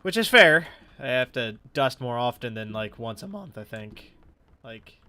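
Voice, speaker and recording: A man's voice: medium pitch, very animated, measured pace, sharp tone, smooth, no echo. A man with a slightly stuffy nose doing casual, sweary let's play commentary, thinking aloud as he goes. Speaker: gaming YouTuber. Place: his room at home.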